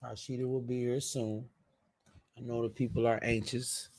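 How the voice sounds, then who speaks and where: Casting: man, informal online show host, mid-pitched voice, easygoing conversational chat with trailing asides. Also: level tone, normal speed, a little mellow. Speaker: live stream show host. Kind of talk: casual live stream chat.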